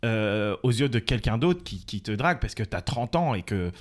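A man talking in a casual podcast chat, halting and restarting often. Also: lowish voice, animated. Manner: halting, many restarts and fillers. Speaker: podcast co-host.

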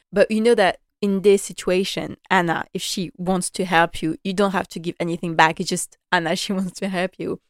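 A young woman recapping a drama series with casual, eager enthusiasm, stringing thoughts together with lots of "like" and "you know." Her voice sits a bit low, and the recording is close and dry with no room sound. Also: no echo. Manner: chatty and enthusiastic, many "like" and "you know" fillers, laughs often. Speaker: podcast host recapping a TV drama.

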